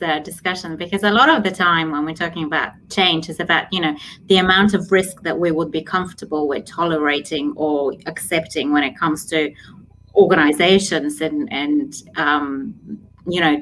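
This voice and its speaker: A woman with a low voice hosts a live stream and talks casually about work. She uses filler words often, and faint noise can be heard behind her. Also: fairly bright tone, poor audio. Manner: uses filler words, reads viewer comments aloud. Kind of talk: casual discussion about business change.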